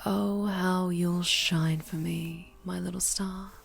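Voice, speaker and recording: A woman's voice: fairly low pitch, fairly even intonation, slow pace, creaky, breathy edge, soft background music, exaggerated voice. A woman playing an obsessive winged superheroine captor in an ASMR roleplay, murmuring with doting, condescending tenderness and slipping into whispers. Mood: sweetly possessive. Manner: soft, cooing, condescending tenderness, drifting into whispers.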